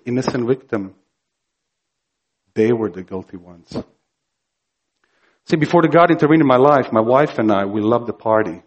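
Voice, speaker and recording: A man from Croatia with a Croatian accent, preaching a sermon earnestly and emphatically, slow and building to fervor. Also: medium pitch, lo-fi sound. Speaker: pastor.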